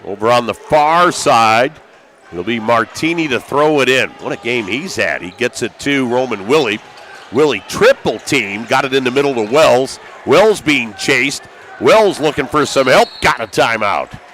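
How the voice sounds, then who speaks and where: An American man doing live basketball play-by-play as a broadcaster, excitable and raising his voice with the action. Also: mid-range voice. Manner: excitable, calls out action with raised voice. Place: courtside in high school gymnasium. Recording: live gym broadcast with background noise.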